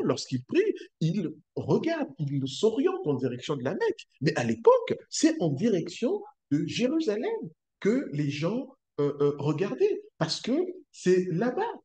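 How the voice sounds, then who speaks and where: Slow, halting middle-aged preacher from Congo. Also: male voice, a little high, mellow. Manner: slow, halting, many hesitations, swooping emphasis; sways and gestures while preaching. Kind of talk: sermon. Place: small room, indoors. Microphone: webcam at close range.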